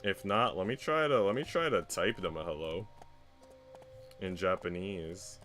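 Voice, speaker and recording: A man's voice: deep voice, measured pace, fairly bright tone, faint music behind. A man livestreaming in casual, conversational chat with his viewers. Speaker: livestreamer reading visual novels.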